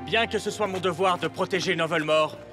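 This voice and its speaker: An actor voicing an eager, theatrical young prince in a cartoon dub, grandiose and excitable. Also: male voice, high-pitched, fairly quick, over music, lightly acted.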